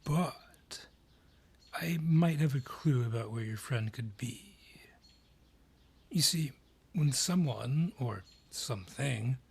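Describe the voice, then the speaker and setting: A man acts out a sardonic forest dryad in a fantasy audio drama. He is gruff and world-weary, with a breathy, husky voice. He takes his time over every phrase, like a reluctant keeper of old lore. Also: medium pitch. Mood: resigned, explanatory. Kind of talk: fantasy audio roleplay acting.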